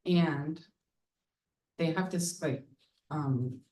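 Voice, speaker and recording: A woman with a notably low voice speaks at a public art talk, pausing on fillers and gushing about her guest's work. She sounds set back from the microphone, as if the mic sits a little away in an event room. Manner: hesitant, many ums, warm and enthusiastic. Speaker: artist leading a public talk. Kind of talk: casual public conversation. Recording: voice heard from far off the mic.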